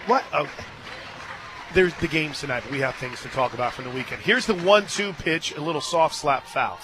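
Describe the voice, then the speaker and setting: An American man calling live softball on the radio as play-by-play announcer, with folksy, joking banter. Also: fairly high pitch, animated, normal speed. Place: stadium radio booth.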